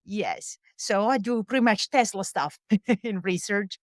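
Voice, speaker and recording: A woman's voice: medium pitch, measured pace, no room sound, clean studio sound. A woman guest who is a musician and sound engineer, talking casually in an interview with many fillers and small laughs. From Europe. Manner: chatty, many fillers, laughs between thoughts. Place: home recording studio on a boat.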